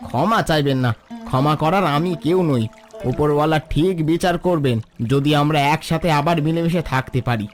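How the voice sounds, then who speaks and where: A man's voice: fairly high pitch, moderate pace, natural voice. A man playing a humble, forgiving poor villager in a cartoon, speaking respectfully and consolingly. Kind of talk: dramatic cartoon dialogue. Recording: music bed under the voice.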